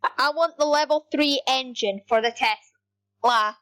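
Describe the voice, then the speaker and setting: A woman giving rambling, excitable commentary over her gameplay, talking straight to her viewers. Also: sits high, measured pace. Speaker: gaming YouTuber.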